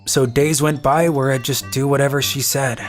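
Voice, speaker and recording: A woman's voice: very deep voice, steady tone, moderate pace, over music. A woman voicing a timid, introverted teenage schoolboy in a manga dub, hesitant in manner. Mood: glum, resigned. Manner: hesitant, stammering when addressed. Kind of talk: manga dub narration and acting.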